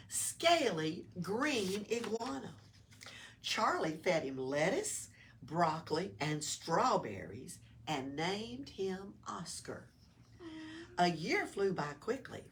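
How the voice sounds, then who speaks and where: Slow, animated woman reading to children. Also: mid-range voice. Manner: dramatic emphasis, sometimes hushed. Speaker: library storytime reader. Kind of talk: expressive children's read-aloud. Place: small town library. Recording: distant mic with room noise.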